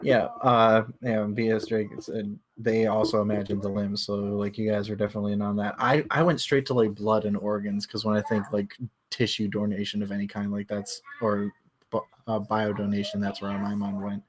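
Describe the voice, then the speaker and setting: A man's voice: on the low side, little pitch movement, moderate pace. A man in a casual livestream book discussion, explaining things analytically and feeling his way through his thoughts.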